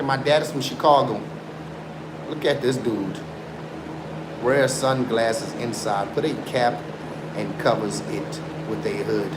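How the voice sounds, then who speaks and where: A man around forty, raised in South Africa, chatting insistently with viewers on a live stream and swearing to his story. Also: fairly high pitch. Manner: insistent, swears oaths, mixes in Afrikaans. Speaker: livestreamer. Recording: roomy sound with background noise.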